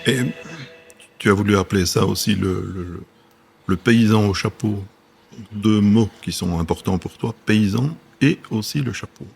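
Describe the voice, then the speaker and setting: A man's voice: lowish voice, unhurried, creaky. A man interviewing a guest, asking short prompting questions and supplying missing words. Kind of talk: conversational interview.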